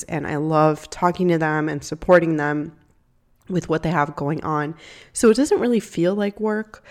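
Low-voiced congested woman podcast host. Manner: chatty, candid, with fillers and laughs. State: congested from a cold. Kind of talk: solo podcast talk. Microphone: home podcast microphone.

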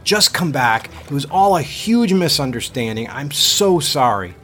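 A man voicing a smooth, pleading man who calls loudly from a dock. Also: a little high, sing-song, measured pace, faint music behind, voice pushed up. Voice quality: loud, pleading. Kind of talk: audiobook character voice.

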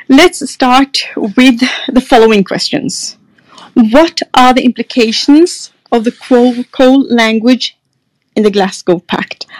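A woman's voice: fairly high pitch, no room sound, rough recording. A woman with a Norwegian accent hosting and moderating a live podcast panel, reading the introduction and handing over to speakers. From Norway. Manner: reads an introduction, then hands over to speakers.

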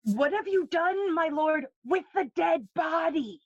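A woman's voice: very high-pitched, lively intonation, unhurried, muffled recording, slight background noise, full character voice. A woman playing an anxious male courtier in a classical audio play, urgent yet deferential.

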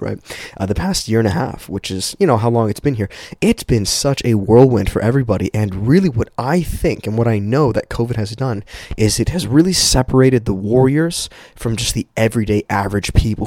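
A man hosting a casual motivational podcast, speaking informally and emphatically, his voice slightly raspy and prone to cracking as he gets over a cold. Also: on the low side, a little mellow, no room sound, clean studio sound. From Canada. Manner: informal, emphatic, addresses listeners as guys, with profanity. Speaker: podcast host.

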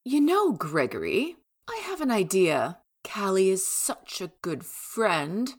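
Slow, menacing, haughty lady doctor. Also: medium pitch, very animated. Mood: coolly menacing. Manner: deliberate, condescending, theatrical. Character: menacing, haughty woman doctor, coolly scheming. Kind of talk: audio drama acting.

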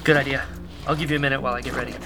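A woman's voice: rumbling low, steady tone, rapid-fire, over music. A woman playing an earnest, anxious young rebel agent and makeshift medic, speaking hesitantly in a sci-fi audio drama. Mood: focused, reassuring.

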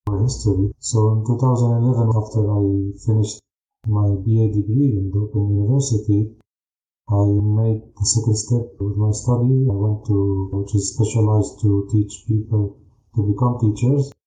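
Palestinian guy, 30s, arabic accent, lowish very soft dark voice, explaining stuff bit by bit in an echoey room. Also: fairly even intonation. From Jaffa. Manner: deliberate, phrase by phrase, non-native English phrasing. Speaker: history teacher, interview guest. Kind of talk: interview answers. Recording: roomy echo.

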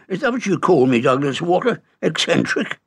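A man voicing an excitable, pompous old male professor shrunk into a tiny insect, stammering and indignant. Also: mid-range voice, unhurried, gravelly, slightly rough, voice pushed up, full character voice. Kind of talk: audiobook character reading.

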